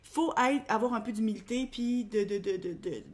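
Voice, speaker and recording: Québécois woman co-host, young, high-pitched, quick chatty delivery, casual podcast. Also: darkish tone. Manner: chatty, quick, colloquial, many fillers. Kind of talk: casual chat.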